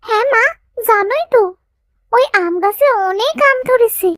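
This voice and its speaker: A woman's voice: extremely high pitch, measured pace, fairly bright tone, dry recording, studio quality. A woman voicing a caring, anxious young village sister who pleads and fusses in a cartoon story. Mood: eager.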